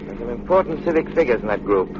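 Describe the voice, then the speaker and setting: A man plays a thoughtful, suave young amateur detective in a vintage radio mystery. His medium-pitched voice is cultured and unhurried. The old recording is muffled, with plain background noise. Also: lively intonation, natural voice. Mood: composed, analytical. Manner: cultured, deliberate, assured. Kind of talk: 1930s radio drama acting.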